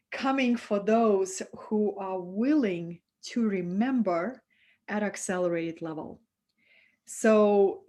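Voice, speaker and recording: A woman from Russia with a Russian accent, hosting a live-streamed spiritual talk and speaking softly and affectionately to her viewers. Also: medium pitch. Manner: soft, affectionate, reads out viewer comments.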